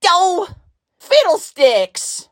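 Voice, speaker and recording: A woman's voice: very high-pitched, wildly swooping pitch, very slow, dry recording, studio quality, heavily acted. A woman voicing a cutesy, pesky digital helper character in a video game. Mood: chirpy. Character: chirpy, pesky computer-helper animatronic.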